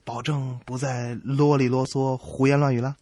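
A man's voice: mid-range voice, little pitch movement, normal speed, dark tone, no echo, clean studio sound. A man co-hosting a comedy radio show, delivering scripted comic banter. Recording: music bed behind the voice. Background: music.

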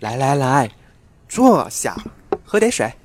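A man's voice: medium pitch, very animated, takes it slowly, background noise. A man playing a playful, lovestruck young male customer in an audio drama, with an eager, coaxing manner. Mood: playful, teasing. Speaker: voice actor in an amateur radio drama. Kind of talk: Chinese audio drama acting.

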